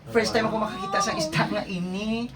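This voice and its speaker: A woman's voice: fairly high pitch, big pitch swings, a little fast, a loud crowd. A woman playing an eager schoolgirl in an amateur radio drama, recorded at a distance. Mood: excited, chatty. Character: pleading, eager schoolgirl begging her father. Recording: distant mic, faint background noise.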